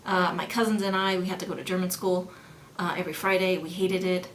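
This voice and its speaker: A woman's voice: fairly low pitch, little pitch movement, fairly quick, a bit bright. Middle-aged woman professor, roomy recording. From born in New York, raised in Vienna. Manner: thoughtful, many fillers and restarts. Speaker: German studies professor. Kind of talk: interview, casual explanation. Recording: roomy sound with faint background noise.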